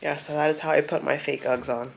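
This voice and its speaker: A woman's voice: low voice, steady tone, brisk, vocal fry, a little hiss or hum. Chatty young woman with a stuffy cold. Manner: chatty, rambling, self-interrupting. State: congested, getting over a cold. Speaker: vlogger. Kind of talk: casual get-ready-with-me chat. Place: at home, indoors.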